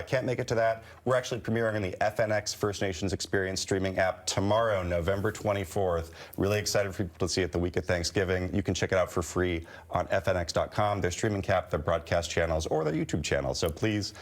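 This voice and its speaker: A man's voice: low-pitched, quick pace, few pauses. A man with an American accent, chatting casually and enthusiastically as a filmmaker guest in a TV interview. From United States. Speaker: guest, animated film writer-director. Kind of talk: casual promotional TV interview chat.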